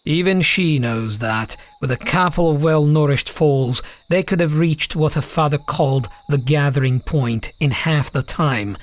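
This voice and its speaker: A male audiobook narrator with a somewhat high voice. He reads a scripted fantasy tale as a storyteller.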